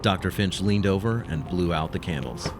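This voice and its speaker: A man's voice: sits low, animated, moderate pace, music in the background. A man narrating a scripted audio drama as its storyteller.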